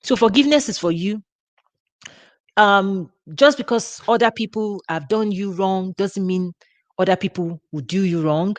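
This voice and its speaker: A woman's voice: mid-range voice, measured pace, no room sound. A woman with a Nigerian accent, giving an informal teaching talk for her ministry in a chatty way with frequent restarts. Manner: conversational, with frequent restarts and laughs. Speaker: ministry host.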